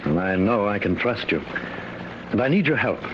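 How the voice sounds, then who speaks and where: A man's voice: on the low side, expressive, normal speed, lo-fi sound, close to an everyday voice. Man playing a grave professor, noisy old radio recording. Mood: earnest, confiding. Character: grave, worried professor inventing time travel, fatherly. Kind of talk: 1950s radio drama acting.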